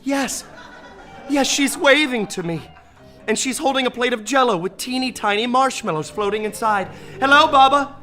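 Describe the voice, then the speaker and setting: A man playing a gentle, childlike, euphoric dying saint in a comic audio play, wondering and tender. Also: very high voice, moderate pace, soft background music.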